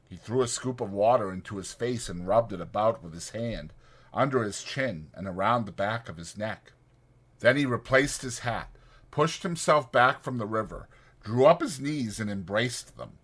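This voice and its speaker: A man reads literary fiction as an audiobook narrator. His voice is husky and forceful, with deliberate, expressive phrasing and a very lively pitch. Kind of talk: dramatized scripted narration of a literary novel.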